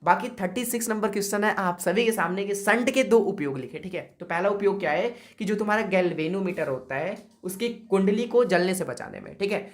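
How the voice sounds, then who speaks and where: Hoarse man teaching physics. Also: high-pitched, fairly bright tone. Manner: chatty, repeats "okay" and calls students "brother". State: sore throat. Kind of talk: exam-prep lesson.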